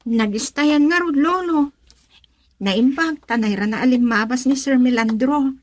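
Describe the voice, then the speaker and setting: Casting: high-pitched young student girl, courteous and burdened, Ilocano radio drama, Filipino accent. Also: measured pace, no echo. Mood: relieved. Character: polite, troubled young female student raised by grandfather.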